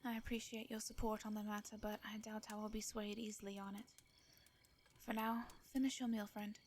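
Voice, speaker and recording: A woman playing a shy, formal vampire in a roleplay audio drama, with an English accent, a soft breathy voice and hesitant, flustered phrasing. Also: medium pitch, level tone, brisk, a little hiss or hum, close to an everyday voice. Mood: polite, reserved.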